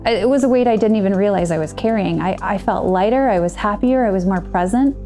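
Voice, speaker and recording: A woman's voice: medium pitch, quick pace, dark tone, faint music behind. A woman in her thirties earnestly telling her own story as a client and coach, in a scripted testimonial. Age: 30s.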